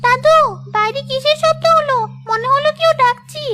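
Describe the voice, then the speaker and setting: Woman playing a very high-pitched innocent little fairy girl. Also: moderate pace, bright, forceful tone, faint music behind. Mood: frightened. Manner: childlike, earnest. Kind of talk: cartoon fairy-tale acting.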